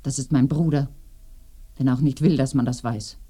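An actress in a radio drama playing a lonely, remorseful, secluded village woman with a soft, breathy, brooding delivery. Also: a bass voice, fairly even intonation, moderate pace. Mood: lonely, confiding. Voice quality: soft, breathy, often whispering. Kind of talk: intimate radio play acting. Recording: roomy binaural radio drama recording.

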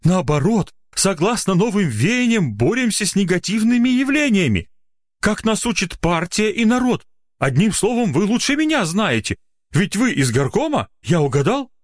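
A man's voice: high voice, very animated, normal speed, no room sound, studio quality, pitched above the performer's own voice. A man voicing an indignant, blustering, officious doctor in a narrated story, easily flustered and outraged. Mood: flustered, self-justifying. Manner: officious, blustering, self-justifying.

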